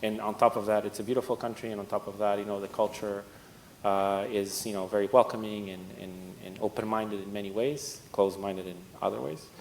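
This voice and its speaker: A man from Portugal speaking as a panelist at a conference, relaxed and conversational. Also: fairly quick. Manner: relaxed, conversational, laughs often. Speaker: panelist, fund partner. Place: conference stage. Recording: echoey hall with faint rumble.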